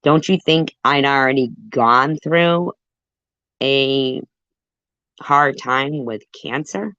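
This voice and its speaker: A woman's voice: rumbling low, takes it slowly, fairly bright tone, clear, resonant tone, dry recording. A woman hosting a commentary stream, talking in a defensive, rambling way with lots of fillers. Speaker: commentary channel host. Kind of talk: livestream commentary. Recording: dull dry lo-fi audio.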